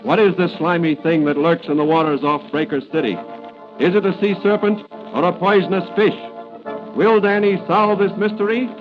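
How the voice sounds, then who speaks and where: A man's voice: high voice, fairly even intonation, moderate pace. A man narrating as a 1940s radio serial announcer, suspenseful and declamatory. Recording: muffled old radio recording with music.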